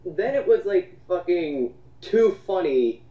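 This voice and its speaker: Male co-host, expressive and sarcastic, foul-mouthed banter, slightly muffled roomy sound. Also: high-pitched, takes it slowly. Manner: sarcastic, profane, exclaiming. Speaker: co-host reading and mocking online comments. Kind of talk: casual mocking commentary chat. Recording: roomy, distant, slightly dull mic.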